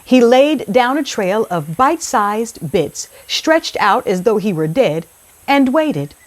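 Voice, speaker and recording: A woman hosting a children's read-aloud, speaking in a deliberate, clearly phrased storytelling style. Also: a little high, very animated.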